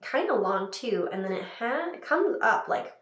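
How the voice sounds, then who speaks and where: A woman's voice: a little high, big pitch swings, moderate pace, fairly bright tone. A young woman with a stuffy cold voice, chatting upbeat through a makeup tutorial with frequent fillers. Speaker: beauty vlogger. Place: small room indoors. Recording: echoey room.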